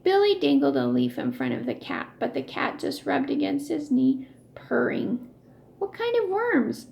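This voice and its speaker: A woman reading a children's story aloud in an expressive, emphatic, deliberate way. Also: a little high, vocal fry. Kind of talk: expressive read-aloud narration with acted dialogue.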